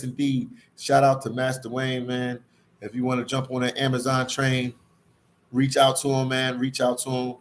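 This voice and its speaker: Guy doing a casual live stream, mid pitched voice, slangy and repeats himself, says man a lot, ambient noise behind him. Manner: repetitive, slangy, addresses viewers as "man". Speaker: live stream host reading viewer comments. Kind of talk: casual livestream chat to viewers. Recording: clear background ambient noise.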